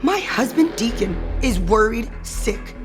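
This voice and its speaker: Haughty, high-voiced scheming society wife. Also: female voice, lively intonation, unhurried, over music. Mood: haughty, accusing. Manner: haughty, indignant, cutting. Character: proud, bitter, scheming wife of a wealthy family. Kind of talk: audio soap opera acting.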